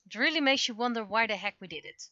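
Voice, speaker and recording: Bright, high-voiced woman livestreamer thinking aloud. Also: fast talker, no echo, dull audio. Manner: muttering to herself, self-questioning, expressive. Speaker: livestreamer, webcomic and visual novel creator. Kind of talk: casual livestream chat, thinking aloud while working.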